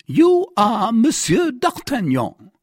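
Shrill, pompous police commissary. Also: male voice, wildly swooping pitch, slightly rough, dry recording, studio quality, heavily acted. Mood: indignant, flustered. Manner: imperious, drawn-out, indignant questioning. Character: pompous, exasperated male police commissary, easily flustered. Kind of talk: dramatized audiobook reading.

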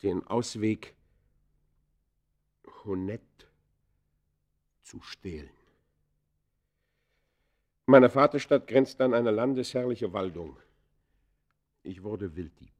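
An actor playing an embittered outcast poacher in a German radio play, confessing in formal, declamatory phrases with dramatic swells and hushed falls. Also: male voice, fairly low pitch, takes it slowly, pauses often. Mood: agitated confession. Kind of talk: German radio play acting, literary monologue.

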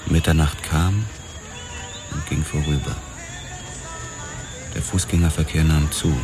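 An actor narrating as a world-weary police officer in a hard-boiled radio drama, terse and measured. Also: male voice, extremely low pitch, steady tone, over music. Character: world-weary male cop narrating his case.

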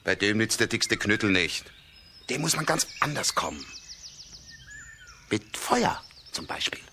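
Sly, animated village troublemaker. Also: male voice, lowish voice, measured pace, slight background noise, full character voice. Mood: scheming. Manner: taunting, needling. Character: sly, goading red-haired village lad, mocking troublemaker. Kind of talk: German children's radio play acting.

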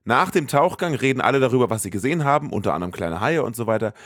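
A man's voice: mid-range voice, expressive, racing, dry recording, clean studio sound. A man, a podcast host narrating a scripted, colloquial recap of a film.

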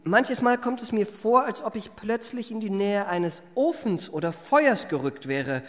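A man's voice: high voice, lively intonation, a little slow, fairly bright tone. An actor playing an eloquent, well-bred young confidence man in a radio play, speaking in ornate, elaborately polite phrases. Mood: earnest, flustered. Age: young adult. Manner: ornate, elaborately polite, self-regarding diction. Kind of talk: literary radio play narration and dialogue.

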